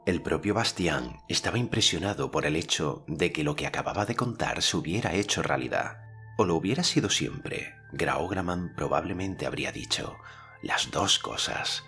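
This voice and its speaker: A man narrating an audiobook in a measured storytelling manner. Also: deep voice, animated, a bit bright, faint music behind.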